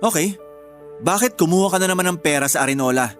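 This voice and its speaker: Actress playing suspicious angry girlfriend, Filipino accent, radio drama, quick confrontational delivery, faint music behind. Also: lowish voice, lively intonation, lightly acted. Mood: cold, suspicious. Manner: accusing, sarcastic, confrontational. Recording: music under the voice. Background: background music.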